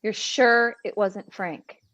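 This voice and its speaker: A woman's voice: medium pitch, slow pace, a bit bright. A woman reading a spooked, foul-mouthed young female camp counselor in a live horror script read, on a slightly dull microphone. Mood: uneasy.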